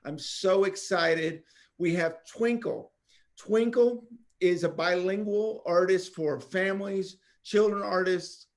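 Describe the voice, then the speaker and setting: A man's voice: high-pitched, fairly even intonation, slow pace. A man hosting a podcast interview, eager and enthusiastic as he welcomes his guest. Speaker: podcast host.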